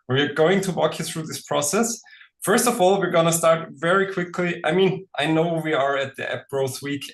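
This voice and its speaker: A man's voice: medium pitch, a bit bright. A man in his forties from Austria, with an Austrian German accent, presenting at a webinar with frequent hesitations. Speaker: co-founder and presenter.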